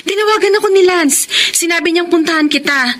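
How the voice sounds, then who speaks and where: An actress playing a bride's bossy, upbeat young best friend in Filipino radio melodrama, with a Filipino accent and an insistent, animated delivery. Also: female voice, extremely high pitch, fairly quick. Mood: urgent, insistent. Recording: noisy radio drama track with clicks.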